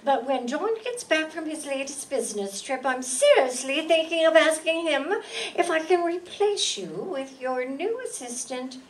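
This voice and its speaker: A woman playing a spoiled, flippant young society wife, whining and drawn-out, in an amateur radio play. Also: high-pitched, lively intonation. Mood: petulant. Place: meeting room stage before an audience. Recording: distant mic, faint room noise.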